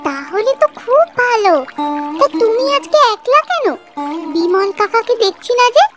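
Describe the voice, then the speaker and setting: A woman's voice: very high voice, sing-song, moderate pace. A woman voicing a caring, dutiful young village girl in a cartoon, with a childlike, earnest manner. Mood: pensive, concerned. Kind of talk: Bengali cartoon voice acting. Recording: loud music behind the voice. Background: music.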